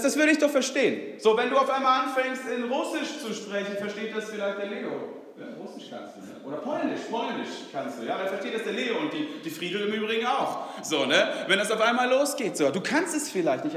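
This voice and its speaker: A man with a fairly high voice preaches in a loose, chatty way, peppering his talk with fillers and little tag questions. His first language is Swedish. The sound is very roomy, with a long reverb trailing behind every phrase. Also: big pitch swings, brisk, hardly any pauses, fairly bright tone. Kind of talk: informal sermon. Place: church hall.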